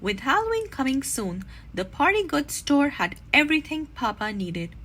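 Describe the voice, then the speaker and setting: A woman narrating a children's storybook aloud in an expressive read-aloud style. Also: high-pitched, moderate pace.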